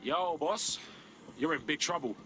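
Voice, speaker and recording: A man's voice: a little high, a little slow, pushed and bright, soft background music. An actor playing a cocky, streetwise young male rebel with a London accent and a brash, slangy manner. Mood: brash, urgent.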